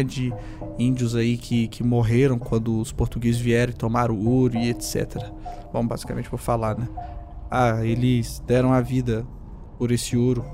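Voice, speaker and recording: A man's voice: mid-range voice, warm tone. A man playing a tabletop game in a casual, rambling way, describing his character. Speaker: player.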